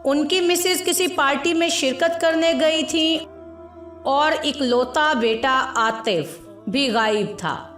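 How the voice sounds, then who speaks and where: A woman narrates a scripted moral tale at a slow pace. Her voice sits fairly high. It rings with a roomy echo over faint music. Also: a bit bright. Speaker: story narrator. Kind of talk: scripted moral story narration with acted dialogue.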